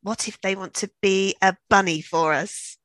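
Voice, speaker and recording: A woman's voice: mid-range voice, fairly even intonation, moderate pace, a bit bright, dry recording. A woman co-presenting a sponsor appeal in playful, mock-dramatic scripted banter.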